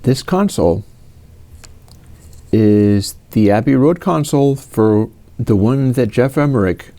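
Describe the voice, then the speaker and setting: A man's voice: mid-range voice, expressive, slow pace, warm tone. Rambling man in his fifties. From United States. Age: 50s. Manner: rambling, digressive, with many fillers and restarts. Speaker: host answering viewer questions. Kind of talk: casual rambling livestream Q&A.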